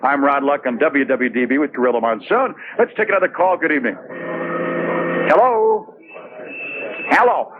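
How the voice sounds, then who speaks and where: A man's voice: a little high, moderate pace, pauses often, noisy background. A man hosting a live talk radio show, putting questions to a guest and taking calls. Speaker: radio host. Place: live remote broadcast in a busy venue. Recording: tinny old radio broadcast, loud crowd. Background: crowd chatter.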